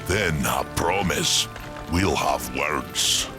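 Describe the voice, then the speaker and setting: An actor playing a surly, sardonic old giant hermit blacksmith, in a video game cutscene. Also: male voice, rumbling low, extremely slow, over music, heavily acted. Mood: gruff warning. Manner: folksy, colloquial, sardonic growling.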